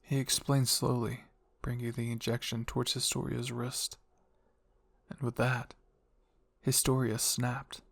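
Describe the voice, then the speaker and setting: A man's voice: medium pitch, monotone, unhurried, creaky, a bit bright, no echo, clean studio sound. A man reading scripted, dramatic fan fiction as narrator.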